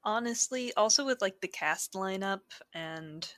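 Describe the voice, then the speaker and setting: Bright-voiced woman co-hosting a podcast. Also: medium pitch, expressive, unhurried, dry recording, studio quality. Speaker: podcast co-host. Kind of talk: casual podcast chat.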